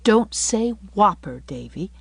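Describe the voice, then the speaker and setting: Storybook young schoolmistress, as a woman reader plays her: tender, reproachful, proper diction, very expressive melody, closely recorded. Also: mid-range voice, slow, gravelly, husky, no room sound, exaggerated voice. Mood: gently correcting. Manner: tender, reproachful, careful correct speech. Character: gentle, sorrowful young schoolteacher woman, morally earnest.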